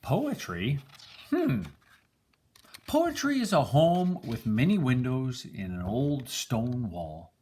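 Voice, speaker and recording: A little storybook chipmunk who ponders aloud, played by an older man. The musing is slow, with big jumps in pitch. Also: very animated, a little mellow, slight background noise. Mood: pondering.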